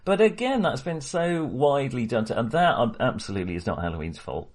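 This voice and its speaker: A man co-hosting a podcast, talking through a film casually in a halting, hesitant way. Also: medium pitch, big pitch swings, darkish tone. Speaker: podcast co-host.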